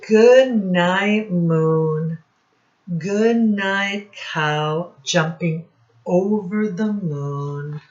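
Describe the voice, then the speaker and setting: A woman teacher reading a picture book aloud to young children in deliberate, separated phrases, asking them questions as she goes. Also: fairly low pitch, a little mellow. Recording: dull, muffled lo-fi recording.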